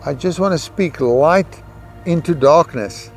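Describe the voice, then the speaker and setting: South African man, higher-pitched, with music behind. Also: unhurried, a little mellow. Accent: South African Afrikaans. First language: Afrikaans. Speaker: missionary giving a testimony. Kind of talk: personal testimony storytelling. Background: background music.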